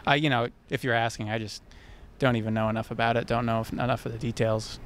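A young man answers questions on a city street, speaking into a mic held out to him. His voice stays level and flat as he thinks aloud and restarts sentences. Faint traffic noise runs behind. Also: mid-range voice, quick pace. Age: young adult. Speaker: passerby. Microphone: handheld mic held by interviewer.

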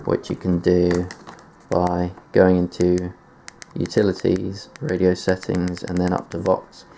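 A man's voice: low voice, completely flat delivery, takes it slowly, vocal fry, mellow, a little hiss or hum. A man giving a casual tech demonstration in a matter-of-fact, explanatory way, presenting gear on video indoors. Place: indoors at a desk.

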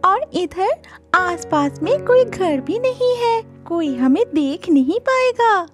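Squeaky-high young cartoon fairy. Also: female voice, very animated, takes it slowly, soft background music, exaggerated voice. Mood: weary, coaxing. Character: sweet, timid young red fairy turned mermaid. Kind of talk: children's cartoon voice acting.

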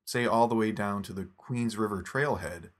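A man narrating a scripted phone app tutorial in a steady, instructive step-by-step voice. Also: lowish voice, normal speed.

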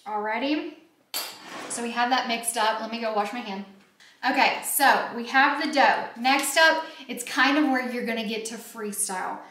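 A chatty woman with a fairly high voice walks viewers through a recipe in an informal way. She is recorded a few feet from the camera in a home kitchen, so the sound is noticeably roomy, with faint background noise and some clinking of dishes. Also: moderate pace, pushed and bright. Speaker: home baking vlog host. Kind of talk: casual recipe demonstration. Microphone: camera a few feet away.

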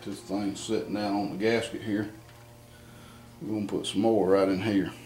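A lowish-voiced man with a drawl explains his work in a plain, unhurried way, thinking aloud as he goes. He is recorded on a camera mic in a garage workshop with a faint hiss behind him. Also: flat delivery, darkish tone. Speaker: home mechanic demonstrating an engine rebuild. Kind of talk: casual hands-on how-to. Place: barn or garage workshop, indoors.

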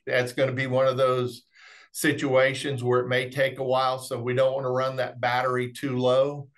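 Middle aged guy doing a tech webinar, pretty even pitch, folksy explainer type. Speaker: remote monitoring specialist presenting product training. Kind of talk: webinar technical training. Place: indoors, on a video call.

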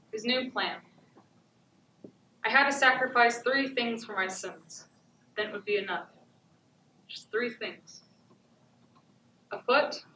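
A haunted, stoic abused wife, acted by a teenage girl delivers a dramatic stage monologue in short, stark phrases. Her voice is fairly high, heard from a distance in an echoey theatre. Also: bright, forceful tone. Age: teens. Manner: deliberate, incantatory repetition with short stark phrases. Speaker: student stage actor. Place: theatre stage before an audience. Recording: echoey theatre, distant camera, background noise.

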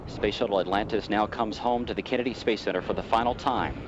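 A man's voice: lowish voice, fairly even intonation, fast talker, noisy background. A man giving live commentary on a spacecraft landing. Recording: dull audio, loud vehicle ambience.

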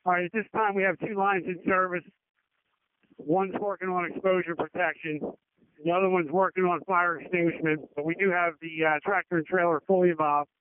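A man's voice: high-pitched, fairly even intonation, brisk, clear, resonant tone. A man giving incident command reports over emergency two-way radio. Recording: tinny band-limited fire radio transmission.

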